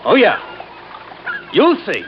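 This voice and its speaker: A man's voice: sits high, huge pitch swings, takes it slowly, exaggerated voice. An actor playing an agitated, insistent, accusing man in an old-time radio drama. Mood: frantic, insistent. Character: excitable, accusing man obsessed with talking dolphins. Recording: noisy, muffled old radio recording.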